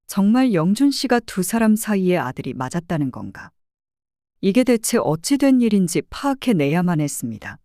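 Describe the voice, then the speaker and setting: A woman narrates a dramatized true story as a private detective. Her voice is mellow and warm, with lively pitch movement. The recording is dry and close. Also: no room sound. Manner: expressive, storytelling.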